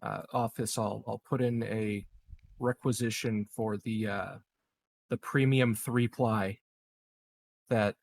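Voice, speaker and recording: A man playing a tabletop roleplaying game, improvising casual banter in a halting way. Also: fairly low pitch, steady tone, gravelly, a little hiss or hum.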